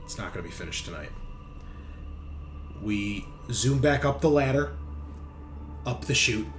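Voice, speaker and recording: A man's voice: lowish voice, animated, unhurried, music in the background. A man running a tabletop roleplaying game as game master, narrating casually and bantering with plenty of swearing.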